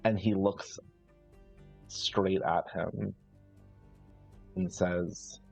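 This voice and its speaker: A man improvising story narration as a player in a live tabletop roleplaying game, with halting, searching, thoughtful phrasing. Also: low-pitched, level tone, gravelly, a little mellow, breathy edge, soft background music, low-quality recording.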